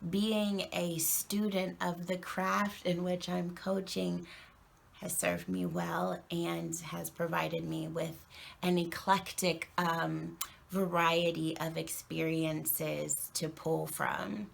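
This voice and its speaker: A woman working as an acting and presentation coach, speaking in a naturally soft voice in an earnest, measured recorded statement with frequent ums. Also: lowish voice, level tone, creaky.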